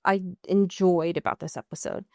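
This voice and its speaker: A woman's voice: lowish voice, steady tone, moderate pace, a little mellow, no room sound, clean studio sound. A woman co-hosting a podcast in a casual recap chat, informal and wry, with some swearing. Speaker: podcast co-host.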